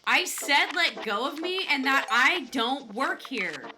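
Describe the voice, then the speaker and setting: A woman voicing an angry, defiant teenage girl who yells indignantly in a dramatized story reading. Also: sits high, big pitch swings, slow pace, voice pushed up, full character voice. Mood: indignant yelling. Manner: indignant, forceful yelling. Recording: music underneath.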